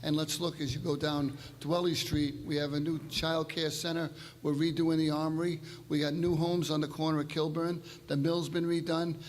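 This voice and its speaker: An older man, the incumbent mayor, giving a steady and measured candidate speech at a forum. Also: a little high. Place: church hall forum. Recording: long hall reverb.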